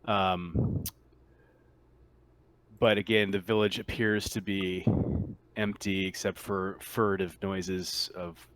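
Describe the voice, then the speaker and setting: Male game master, lower register, improvised and conversational scene description for tabletop play. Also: flat delivery, takes it slowly, fairly bright tone. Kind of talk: narrating a tabletop roleplaying game session.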